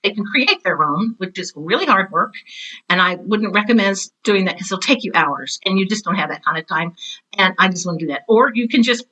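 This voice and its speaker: Bright, emphatic woman explaining her work. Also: mid-range voice, lively intonation, fairly quick, rough recording. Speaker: podcast guest, drama teacher and author of teaching materials.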